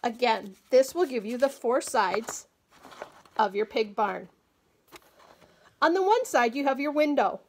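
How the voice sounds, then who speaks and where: A woman's voice: a little high, animated, a little slow, pauses often, a little hiss or hum. Woman patiently demonstrating a table-top craft. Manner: clear, patient instructional delivery. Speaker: agriculture educator. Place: small indoor room, table-top demo.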